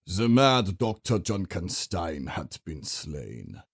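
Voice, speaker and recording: A man's voice: deep voice, sing-song, slow, gravelly, slightly rough, full character voice. A man acting as a grave, theatrical storyteller narrating a gothic horror tale. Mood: ominous, dramatic. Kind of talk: dramatic scripted narration.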